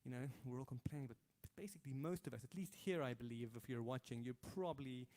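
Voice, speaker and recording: A man's voice: mid-range voice, fast, dark tone. A man hosting a live guitar stream from home, chatting loosely with viewers, thanking them and reading their comments aloud with lots of fillers and restarts. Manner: hesitant and rambling, many fillers and restarts. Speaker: livestream host and guitarist.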